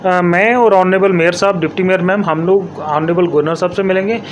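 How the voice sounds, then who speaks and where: A man with a notably high voice speaks as an elected councillor being interviewed. He explains his position emphatically, circling back over points and mixing in English terms. Faint but clear background noise sits behind him. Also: fairly even intonation, a little fast, highs cut off. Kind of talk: interview answers to a reporter.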